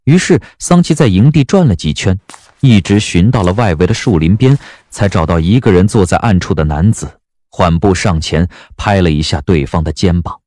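A man narrating an audio drama in an expressive storytelling manner. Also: low-pitched, moderate pace, no room sound.

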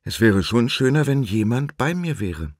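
A man voicing a lonely, kindly village bookseller in a children's storybook, gentle and thoughtful. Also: medium pitch, very animated, normal speed, dry recording, clean studio sound, voice pushed up. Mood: wistful.

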